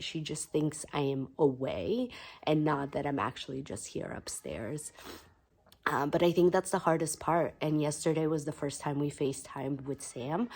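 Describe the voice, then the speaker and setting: A woman in her thirties who is hoarse from being ill, talking quietly in a casual vlog from her bedroom at home, with many "um"s and "like"s. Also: low-pitched. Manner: soft, subdued, chatty with frequent "like" and "um". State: hoarse from illness. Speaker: vlogger.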